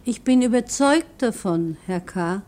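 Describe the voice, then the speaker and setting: A woman's voice: fairly high pitch, animated, unhurried, a little mellow. A woman playing a humble, motherly older landlady in a radio drama, hesitant, deferential and soothing. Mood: deferential, reassuring. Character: humble, motherly old landlady, simple and fretful.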